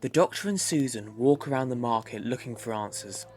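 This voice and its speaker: A man's voice: mid-range voice, a little fast, darkish tone. A man narrating a fan audio drama from a script in the third person. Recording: music underneath.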